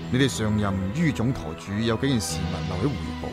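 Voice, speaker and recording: An actor playing a decisive, calculating emperor with an authoritative, self-assured manner in a radio drama. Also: male voice, lowish voice, lightly acted. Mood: commanding, assured. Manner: authoritative, brisk. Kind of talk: Cantonese radio drama acting. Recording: loud music under the voice.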